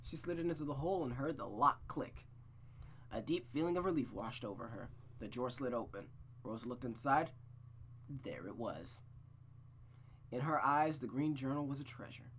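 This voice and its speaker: A man's voice: mid-range voice, normal speed. Man, game streamer, dramatic read-aloud narration, casual reactive asides, stumbles and rereads, noisy ambience behind. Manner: expressive, reactive, sweary, stumbles and rereads lines. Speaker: streamer reading a visual novel aloud.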